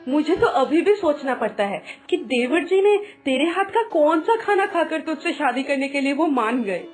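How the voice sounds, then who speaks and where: Woman acting a high-voiced, bossy elder sister-in-law. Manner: scolding, taunting, theatrical. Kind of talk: Hindi animated moral story acting.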